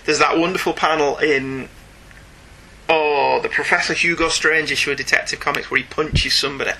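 A man's voice: on the high side, brisk, a little hiss or hum, low-quality recording. A man with a Northern English accent hosting a casual comics podcast, reading listener emails and joking in asides. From northern England. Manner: jokey, animated, digressing into asides. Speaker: podcast host.

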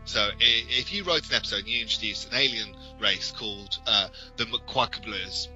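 Give a man with a slightly low voice and a very bright, forward tone. He talks as a podcast co-host in a casual discussion, laying things out in discursive detail. There is music in the recording. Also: moderate pace, highs cut off. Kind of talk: casual fan discussion, explaining in depth.

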